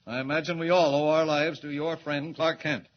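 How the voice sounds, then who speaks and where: An actor playing a brisk, bossy middle-aged newspaper editor in a 1940s radio drama. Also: male voice, on the high side, steady tone, lo-fi sound, slight background noise, close to an everyday voice.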